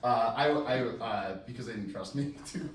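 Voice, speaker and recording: A man's voice: medium pitch, normal speed. A man giving a casual, rambling introduction as a scriptwriter, with a self-deprecating, joking manner. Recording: echoey room, distant mic, faint noise.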